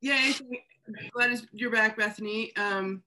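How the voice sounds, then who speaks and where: A woman's voice: on the high side, takes it slowly, bright, forceful tone, rough recording. A woman moderating a question-and-answer session in an informal, slightly tentative way. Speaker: panel moderator. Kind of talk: casual event moderation.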